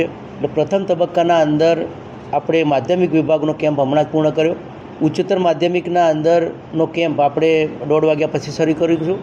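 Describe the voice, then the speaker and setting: Over a dull field recording with urban noise, a man talks in a fairly high but very mellow voice. He explains matters plainly, as an education official. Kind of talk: explanatory interview statement.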